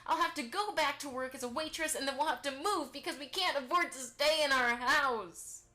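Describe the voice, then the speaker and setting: An anxious mare novelist played by a woman in a fan audio drama. The voice is high and tense, with a fretful manner that easily spirals into panic. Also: normal speed, sharp tone. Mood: panicking.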